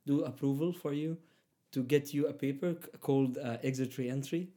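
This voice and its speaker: A young man whose first language is Arabic, speaking English in an easy conversational way. He stops and restarts often and pads his sentences with fillers. His pitch sits at an ordinary middle level. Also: steady tone, normal speed, dark tone. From Palestinian, raised in Egypt. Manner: hesitant, many fillers and restarts. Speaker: guest. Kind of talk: casual conversation.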